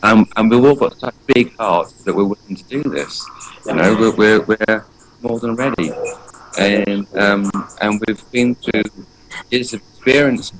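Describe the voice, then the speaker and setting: A man's voice: fairly low pitch, a little slow, slight background noise, rough recording. A man in his forties from England, talking earnestly in a loose, rambling way with many fillers and restarts during an interview. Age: 40s. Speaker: guest, energy painter. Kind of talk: casual spoken interview.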